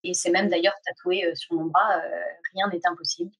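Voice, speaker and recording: A woman guest answering a question reflectively, in halting speech with many fillers and pauses. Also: on the low side, level tone, fairly bright tone, husky. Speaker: guest, a reader of the book. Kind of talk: casual interview answer.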